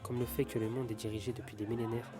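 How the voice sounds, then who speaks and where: Man playing a fast-talking, secretive conspiracy theorist. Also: mid-range voice, level tone, close to an everyday voice. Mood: grave, convinced. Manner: earnest, urgent explaining. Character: secretive, insistent male conspiracy theorist stranger in a bar. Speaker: actor in an audio drama. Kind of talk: dramatic audio drama acting. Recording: music and bar chatter behind.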